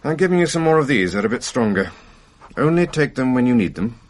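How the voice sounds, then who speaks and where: An actor playing a pragmatic family doctor in a radio drama, consoling in a brisk, matter-of-fact way. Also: male voice, medium pitch, big pitch swings.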